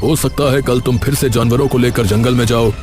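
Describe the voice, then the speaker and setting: A man playing a stern, authoritative village landlord with rigid rules in an animated moral story, speaking in a measured way. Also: medium pitch, over music, lightly acted.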